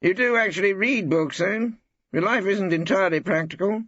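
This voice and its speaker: A man's voice: high-pitched, normal speed, a bit bright, dry recording, muffled recording, pitched above the performer's own voice. A man voicing a sly, ghoulish, slanderous male law tutor with a grating, conspiratorial delivery, in an audiobook. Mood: sly, nosy. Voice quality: grating, like tearing metal. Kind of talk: audiobook character acting.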